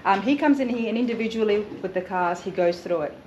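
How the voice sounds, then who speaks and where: A woman's voice: mid-range voice, fast talker, darkish tone, a little hiss or hum. A middle-aged woman hosting a shop tour, enthusiastic and effusive, laughing often. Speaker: host. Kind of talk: casual vlog interview. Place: large indoor garage workshop. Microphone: handheld camera.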